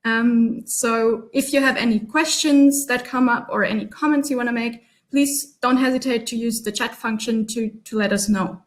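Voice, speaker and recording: A woman's voice: a little high, normal speed, hardly any pauses. A woman from Germany, leading an online workshop session over a video call in a chatty, upbeat way with many ums. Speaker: workshop facilitator.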